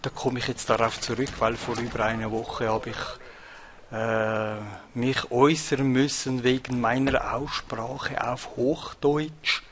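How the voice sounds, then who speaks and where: A man's voice: medium pitch, little pitch movement, measured pace, fairly bright tone. A Swiss man with a Swiss German accent, rambling bluntly with many fillers while commenting on his own gameplay. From Switzerland. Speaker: gaming channel host.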